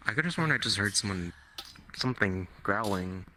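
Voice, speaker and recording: Low-voiced man in game roleplay. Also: expressive, moderate pace, noisy background. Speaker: roleplay player. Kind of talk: casual in-character roleplay chat in a virtual reality game.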